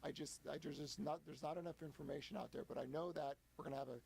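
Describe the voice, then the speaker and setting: A man in his early forties explaining things as a guest, halting and restarting his sentences often. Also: on the high side, fairly even intonation, gravelly, a little mellow, husky. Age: 40s. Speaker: guest analyst. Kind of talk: casual interview explanation.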